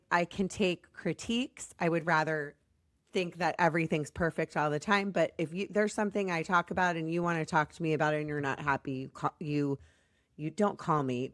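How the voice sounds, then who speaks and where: An American woman hosting a podcast in a chatty, rambling, self-deprecating way, her voice slightly raspy as it recovers from being lost. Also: low-pitched, no room sound, clean studio sound. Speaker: podcast host.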